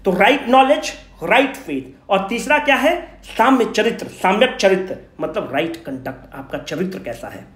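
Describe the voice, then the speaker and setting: Man, high voice, informal lecturer, rhetorical questions, colloquial, echoey room. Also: animated, normal speed, few pauses. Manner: asks questions and answers them himself, colloquial. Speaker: teacher giving an exam revision lecture. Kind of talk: informal Hindi-English classroom lecture.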